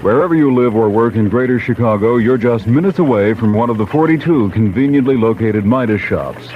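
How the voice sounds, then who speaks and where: A man's voice: medium pitch, lively intonation, a little fast. A man reading a scripted local radio commercial tag as announcer. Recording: dull old radio recording, faint noise.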